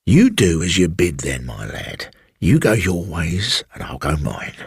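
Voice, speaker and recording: A man voicing a sly, brooding elderly former servant with a rustic working-class English accent, telling his tale slowly and insinuatingly in a husky voice. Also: deep voice, very animated, no room sound, studio quality, exaggerated voice. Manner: slow, insinuating, colloquial storytelling. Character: sly elderly former manservant, evasive and brooding, recounting a haunting.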